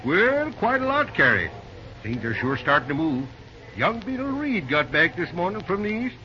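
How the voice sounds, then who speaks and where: A folksy old railroad boss. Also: male voice, high-pitched, very animated, normal speed, bright, forceful tone, exaggerated voice. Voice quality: rural drawl, says ain't and reckon. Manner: chatty, easygoing, repeats words. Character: folksy older male railroad manager, fatherly. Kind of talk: 1930s radio acting. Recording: dull old radio transcription with faint hiss.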